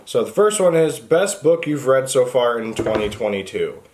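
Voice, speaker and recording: A man's voice: mid-range voice, expressive, normal speed, darkish tone, a little hiss or hum. A man talking casually and informally to viewers as the host of a book review vlog.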